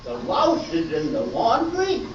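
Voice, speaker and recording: Man playing a high-voiced, no-nonsense detective. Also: wildly swooping pitch, slow, heavily acted. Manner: clipped, official. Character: dry, no-nonsense male police detective. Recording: echoey, distant, dull live recording, faint noise.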